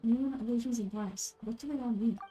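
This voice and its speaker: Casting: woman to play a young game adventurer, serious and reflective, soft mellow tone, lower and subdued. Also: a little fast, soft background music, natural voice, poor audio. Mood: pensive. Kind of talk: video game character dubbing.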